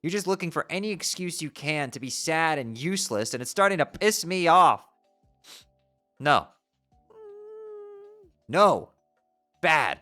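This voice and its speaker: A performer voicing a fierce teenage girl who scolds and yells in exasperation. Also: mid-range voice, lively intonation, measured pace, pauses often, soft background music. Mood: furious.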